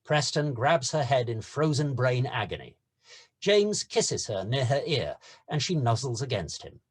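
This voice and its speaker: A man's voice: medium pitch, big pitch swings, measured pace, husky. A man narrating scene headings and stage directions from a script.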